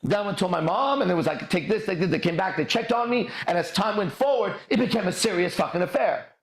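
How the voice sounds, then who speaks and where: Emphatic man from Iran, lively voice. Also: sits high, reverberant. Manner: emphatic, profane, drawn-out pauses. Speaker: podcast host. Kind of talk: livestream motivational storytelling.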